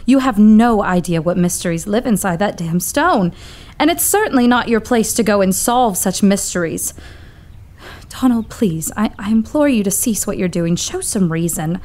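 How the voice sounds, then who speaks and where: A woman's voice: mid-range voice, expressive, normal speed, a little mellow, lightly acted. A woman playing an earnest, pleading assistant. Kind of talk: audio drama acting.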